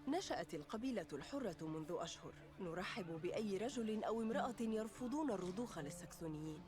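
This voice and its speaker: Proud, fast-talking rebel woman, played by an actress. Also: medium pitch, lively intonation, over music, natural voice. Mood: proud, guarded. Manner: firm, defiant. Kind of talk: dramatic game cutscene acting.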